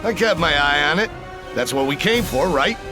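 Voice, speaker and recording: A man's voice: fairly high pitch, lively intonation, normal speed, close to an everyday voice. A man playing a wry, confident army major in a sci-fi game, speaking in a dry, informal way. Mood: guarded, dry. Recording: music behind the voice.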